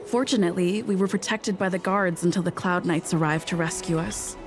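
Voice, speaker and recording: A woman voicing a composed young woman in a game story, giving a calm report. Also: on the low side, a little fast, over music, lightly acted. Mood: calm, reassuring. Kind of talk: acted game story dialogue.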